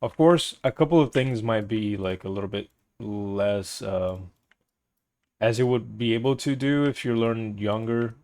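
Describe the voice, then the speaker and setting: Streamer, young man, Portuguese accent, gravelly lowish voice, rambly, gropes for words. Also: a little slow, darkish tone. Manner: rambling, searches for words, laughs often. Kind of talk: casual chat with viewers.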